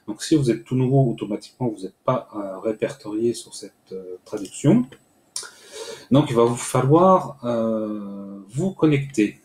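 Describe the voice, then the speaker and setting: A man walking viewers through a screen-recorded software tutorial step by step, pausing often with filler sounds. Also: medium pitch, animated. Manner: hesitant, many "euh" fillers, step-by-step explaining. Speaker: tutorial host. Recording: echoey room with faint noise.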